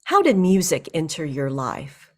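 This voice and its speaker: Lower-voiced woman news host. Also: big pitch swings, darkish tone. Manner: measured, scripted questions. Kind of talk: news interview. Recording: music bed underneath.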